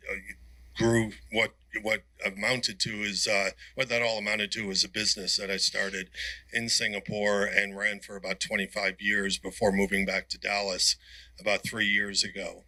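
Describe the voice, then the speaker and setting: A man's voice: on the low side, sharp tone, no echo, poor audio. A man introducing himself as a furniture designer and retailer on a trade show panel, telling his story steadily with fillers. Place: conference panel.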